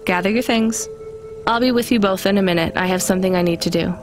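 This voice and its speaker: A woman acts a caring young female driver in a game drama. She speaks naturally at a middle pitch, a little weary, over faint music. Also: fast talker, lightly acted. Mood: calm, fond. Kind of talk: naturalistic video game acting.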